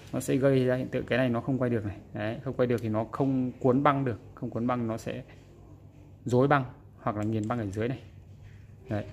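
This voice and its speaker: Male repair presenter, casual and demonstrative, medium pitch, slightly noisy indoor recording. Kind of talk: casual hands-on repair explanation. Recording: background noise, room ambience.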